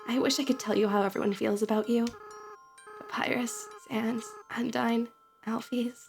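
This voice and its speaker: A woman voicing a gentle, remorseful goat-monster boy. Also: fairly high pitch, fairly even intonation, normal speed, vocal fry, soft background music. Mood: tender. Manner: soft, pleading, near tears. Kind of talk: fan voice-over of a game.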